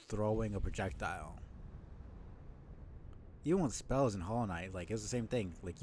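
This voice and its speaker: A man with a low voice giving casual, informal live commentary as a video game streamer, thinking out loud. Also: a little hiss or hum. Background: game music behind the voice.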